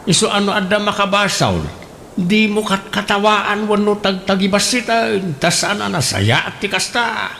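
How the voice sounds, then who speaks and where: A man playing a serious older husband in a radio drama, speaking in a measured, advising way with an Ilocano accent. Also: high-pitched, vocal fry, pushed and bright, a little hiss or hum, exaggerated voice.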